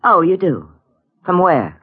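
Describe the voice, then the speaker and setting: A guarded, nervous noir woman with very animated pitch. She is clipped and defensive in the theatrical manner of 1940s radio drama. The old recording sounds dull. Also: slow, breathy edge, exaggerated voice. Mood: guarded, indignant.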